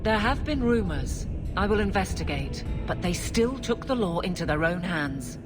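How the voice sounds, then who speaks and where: A woman acts a dutiful, stern captain of the guard for a video game. Her voice sits on the low side and is firm and formal, with music clearly behind it. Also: animated, moderate pace, natural voice. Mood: firm, disapproving. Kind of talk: video game cutscene acting. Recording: music under the voice.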